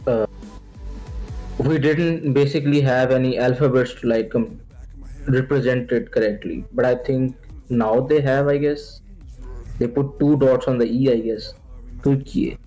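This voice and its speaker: A man's voice: medium pitch, fairly even intonation, unhurried, long pauses, warm tone, faint music behind, rough recording. A man who is not a native English speaker, co-hosting a live stream, chatting casually and joking with viewers and his co-host. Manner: casual, joking, says bro a lot. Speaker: livestream co-host.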